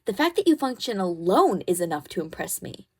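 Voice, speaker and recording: A woman's voice: medium pitch, very animated, fairly quick, breathy edge. A woman playing a caring, protective schoolgirl best friend. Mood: encouraging, reassuring. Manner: soothing, reassuring, gently bossy and teasing. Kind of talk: ASMR comfort roleplay, one-sided conversation.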